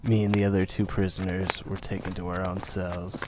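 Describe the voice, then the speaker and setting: Man playing a deep, flat-voiced weary survivor. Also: moderate pace, noisy background. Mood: grim, weary. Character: weary, bitter male survivor narrating his captivity. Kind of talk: post-apocalyptic radio play narration.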